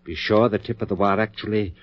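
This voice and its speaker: An actor playing an obsessed, commanding surgeon-scientist in an old-time horror radio play, giving clipped orders with intense, condescending certainty. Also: male voice, on the low side, fairly even intonation, fast talker, no echo, close to an everyday voice. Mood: commanding, clinical. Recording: muffled vintage radio recording.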